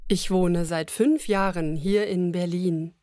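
A woman reading lines of a slow scripted conversation for language learners, repeating each sentence. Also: on the low side, fairly even intonation, mellow, no room sound, studio quality. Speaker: language lesson voice.

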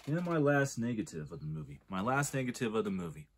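A man's voice: on the low side, big pitch swings, fast, husky. A man in his forties giving a casual, rambling film review, often restarting his sentences as he argues a point. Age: 40s. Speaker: movie reviewer.

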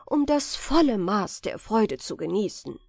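Woman voicing an effusive storybook sultan, very animated. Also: medium pitch, a little slow, gravelly, no room sound, clean studio sound, heavily acted. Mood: delighted. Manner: grand, exclamatory. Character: effusive, delighted sultan, a doting royal father.

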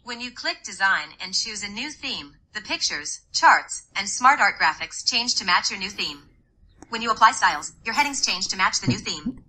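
Female text-to-speech reader, natural but synthetic, very sharp and bright, through a phone speaker. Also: medium pitch, animated, normal speed, low-quality recording. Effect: phone speaker playback into a microphone. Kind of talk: text-to-speech document reading.

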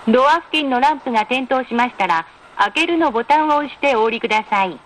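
A woman reading scripted in-car train announcements in a formal, polite, measured way with careful enunciation. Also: high-pitched, animated. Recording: noisy train announcement recording.

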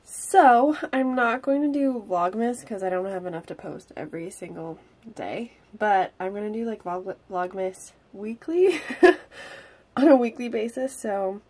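A young woman in her twenties vlogging casually from her cozy wooden home, chatty and upbeat and a little hoarse from a cold. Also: mid-range voice, animated, a little slow, a little mellow. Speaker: vlogger and songwriter. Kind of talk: casual chat to camera. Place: cozy wooden home kitchen and living room.

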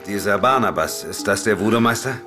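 Guy playing grim urgent detective man in a german audio drama, really low voice, music under it. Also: animated, fairly quick, natural voice. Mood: urgent, determined. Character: grim, urgent male Scotland Yard investigator. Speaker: lead actor and narrator. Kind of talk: German audio drama, first-person narration and dialogue. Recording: music bed behind the voice.

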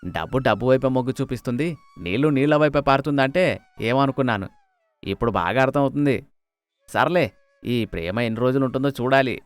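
A man's voice: medium pitch, brisk, pauses often, smooth, no room sound, soft background music, lightly acted. Guy doing a sarcastic loyal servant, folksy and cheeky, dry cartoon story recording. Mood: wry. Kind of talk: animated moral story dialogue.

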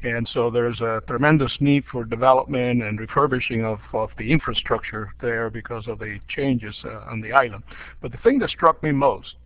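An older man from Cuba talks through his thoughts with frequent "uh"s and restarts, now and then chuckling at his own jokes. His voice sits in an ordinary middle pitch. The audio is dry and a bit dull, like a lo-fi online call. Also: moderate pace, no echo. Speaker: dean of a school of education. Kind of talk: casual spoken overview on a webinar.

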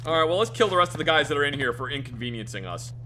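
A man's voice: on the high side, very fast, a little hiss or hum. A man giving casual, joking gaming commentary. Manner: animated, joking, laughing. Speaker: let's play commentator.

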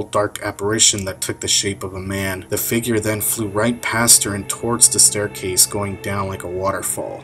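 A man narrates in a scripted documentary style. His voice sits fairly low. Music plays clearly underneath the narration. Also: poor audio.

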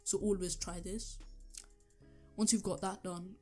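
A young man with a sore, hoarse throat, talking through a computer tutorial step by step, with the odd um. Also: high-pitched, flat delivery, measured pace, dark tone, faint music behind. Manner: earnest step-by-step instructions with ums. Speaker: tech tutorial youtuber. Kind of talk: screen-recorded tutorial voice-over.

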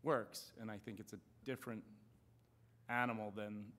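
Male council member, slightly low voice, deliberate explanatory delivery in a public meeting. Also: fairly even intonation, fairly bright tone. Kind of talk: measured discussion at a public budget meeting. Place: council chamber.

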